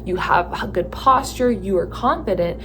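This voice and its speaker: A tired young woman from California with a Californian accent, talking slowly and thoughtfully about her stage work, with many fillers and restarts. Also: mid-range voice, gravelly, a bit bright, a little hiss or hum. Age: young adult. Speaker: theatre student vlogger. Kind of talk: casual reflective talk to camera.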